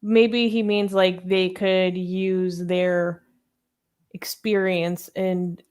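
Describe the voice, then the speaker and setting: A woman's voice: on the low side, flat delivery, very slow, a little mellow, clear, resonant tone. A woman co-hosting a casual question-and-answer show, reading out viewer questions and adding short remarks. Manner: reads questions aloud, then chimes in with short remarks. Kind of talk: casual Q&A chat.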